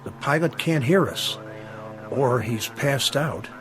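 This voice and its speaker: A man's voice: medium pitch, animated, takes it slowly, over music. A man playing an alert air traffic controller in a television drama, giving terse, clipped reports. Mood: urgent.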